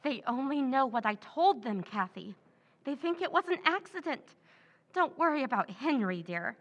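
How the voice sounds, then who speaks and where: A woman's voice: high voice, big pitch swings, moderate pace, muffled recording, heavily acted. A woman playing a scheming, contemptuous sister in an old-time radio drama, with a cold, cutting delivery.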